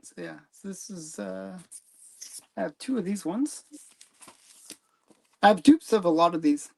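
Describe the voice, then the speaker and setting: A man hosts a relaxed livestream chat about his collection. He hesitates often, filling gaps with ums and leaving sentences unfinished. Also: high-pitched, unhurried, vocal fry. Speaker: comic collector host.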